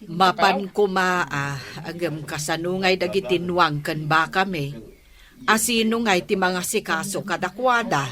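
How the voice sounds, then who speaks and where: Fretful ilocano village lady, lowish voice, talks slow, radio comedy. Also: a bit bright. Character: homely, fretful village neighbour woman.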